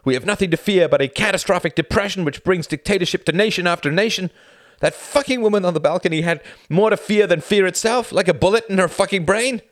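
A man voicing Tom, an agitated, doom-obsessed young male scholar who shouts and swears with passion. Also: sits high, fast talker, no room sound, clean studio sound, voice pushed up, close to an everyday voice. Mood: furious.

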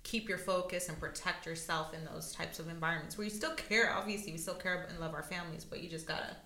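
A woman's voice: fairly low pitch, fairly even intonation, fast talker, sharp tone. A woman hosting an interview, encouraging and affirming her guest. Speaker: podcast host. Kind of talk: casual interview. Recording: echoey room.

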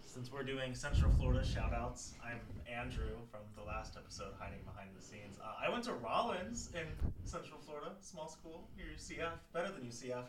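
A man's voice: mid-range voice, animated, brisk, hardly any pauses. Teasing man calling in from off-mic. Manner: joking, cocky teasing. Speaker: podcast crew member. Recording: distant voice with audible background noise.

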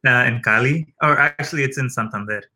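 A man's voice: medium pitch, brisk, sharp tone. A man hosting a casual interview, easygoing and encouraging, often murmuring "mm-hmm" while his guest talks. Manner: easygoing, backchannels with "mm-hmm", light laughs. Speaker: interviewer, host.

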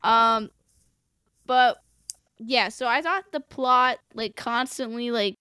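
A woman co-hosting a casual anime recap chat, expressive and blunt, swearing freely in slangy talk. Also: on the high side, slow pace, clean tone, no echo, clean studio sound. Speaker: podcast co-host recapping an anime.

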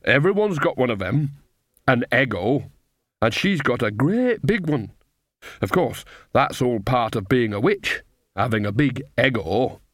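A man's voice: mid-range voice, big pitch swings, unhurried, a bit bright, dry recording, studio quality. A man voicing a worldly old witch woman who talks in a folksy, emphatic, matter-of-fact way, as an audiobook character. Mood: knowing.

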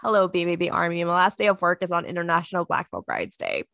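A woman's voice: fairly low pitch, sing-song, fast talker, vocal fry, a bit bright, no room sound, clean studio sound. A woman with a stuffy voice from a cold, chatting casually as a podcast co-host, rambling and laughing often. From Washington State. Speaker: teacher. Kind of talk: casual chat.

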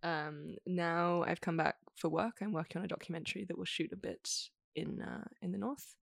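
A woman's voice: lowish voice, normal speed, creaky, no room sound. A young woman from Australia, speaking as a podcast guest in a reflective, thoughtful way and using frequent "like" fillers. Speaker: interview guest. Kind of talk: casual conversation.

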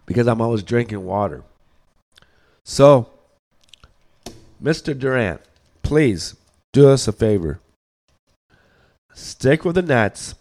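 A hoarse man, getting over the flu, hosts a podcast and delivers a blunt, scolding sports rant, often repeating words as he thinks. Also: medium pitch, lively intonation, slow, long pauses, a little mellow. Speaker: podcast host.

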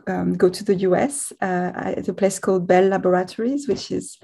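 A middle-aged woman, whose voice has a gravelly, creaky edge. Her tone is mellow and dark rather than bright. She speaks haltingly, restarting and correcting herself often as she answers interview questions. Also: fairly low pitch, steady tone. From France. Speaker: guest physics professor. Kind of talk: casual podcast interview.

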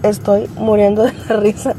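A woman with a very mellow, dark voice. She speaks with little pitch movement, as in a casual voice message from a listener. There is faint music behind her. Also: flat delivery, moderate pace, rough recording. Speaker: podcast listener leaving a voice message.

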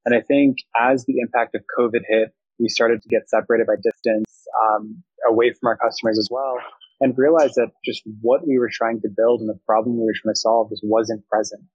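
Young man, founder guest, husky voice, flat delivery, dry muffled sound. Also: mid-range voice, fairly quick, no echo. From Thailand. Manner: fluent, reflective, business jargon. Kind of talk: interview answers.

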